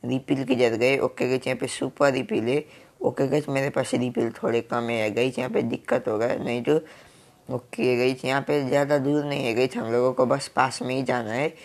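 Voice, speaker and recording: Hoarse man with a cold, gravelly. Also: medium pitch, moderate pace. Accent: Hindi. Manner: filler-heavy, keeps saying "guys". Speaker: gaming YouTuber. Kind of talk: casual game commentary.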